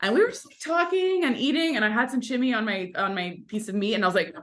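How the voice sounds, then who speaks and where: A woman's voice: a little high, fast. A woman actor, a native Italian speaker, chatting as an interview guest and telling stories with lots of "like". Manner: chatty, animated storytelling with many "like" fillers. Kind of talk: casual interview chat.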